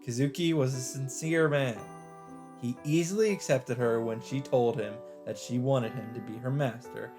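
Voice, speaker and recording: A man's voice: mid-range voice, normal speed. A man hosting a let's play, reading a visual novel's narration aloud in a dramatic storytelling style. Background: game music.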